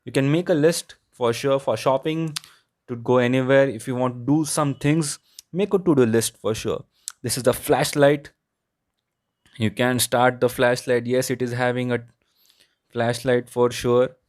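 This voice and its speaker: Indian guy doing a tech review walkthrough, clean crisp voice, mid pitch, no room echo. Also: fairly even intonation, unhurried, a little mellow, clean studio sound. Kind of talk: product review narration, unscripted walkthrough.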